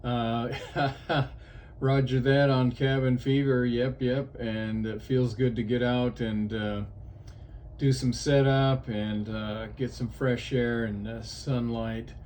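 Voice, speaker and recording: Man, from Ohio, ham radio chat, outdoors, slow, halting, lots of "uh", faint hiss. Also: mid-range voice, little pitch movement, darkish tone. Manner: unhurried, halting, frequent "uh" fillers. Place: outdoors portable setup.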